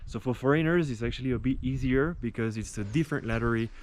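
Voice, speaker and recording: A man hosting a casual travel vlog, upbeat and quick, laughing often, recorded on an action camera. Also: medium pitch, slight background noise. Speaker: vlog host.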